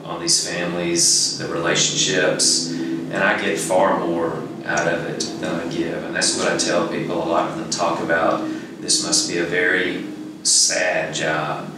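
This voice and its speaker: Very deep-voiced middle-aged man, echoey room. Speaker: nonprofit executive director. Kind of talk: conversational interview. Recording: echoey room, distant mic, faint noise.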